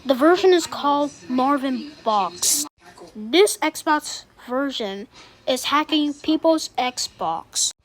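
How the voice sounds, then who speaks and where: A woman's voice: high voice, lively intonation, slow pace. A woman delivers a scripted emergency broadcast announcement as an alert announcer, in a formal, solemn manner.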